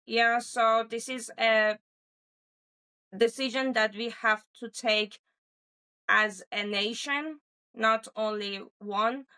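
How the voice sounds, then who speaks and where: A woman from Iran with an Iranian accent and Persian as her first language, answering in an interview, haltingly searching for words. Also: fairly high pitch, fairly even intonation, sharp tone, clean tone, poor audio. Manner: halting, searching for words in non-native English. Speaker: interview guest giving a first-hand account.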